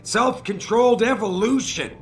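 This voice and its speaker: A man's voice: high voice, measured pace. A man playing an idealistic, driven company chief in a video game, speaking with earnest, persuasive emphasis. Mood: impassioned. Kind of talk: cinematic video game acting. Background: faint background music.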